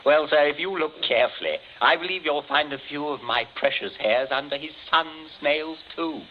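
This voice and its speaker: An actor playing a shrewd, defiant sea captain, sardonic and articulate, in 1940s radio suspense style. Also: male voice, on the high side, moderate pace, lightly acted. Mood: coolly accusing. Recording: muffled, noisy old radio drama recording.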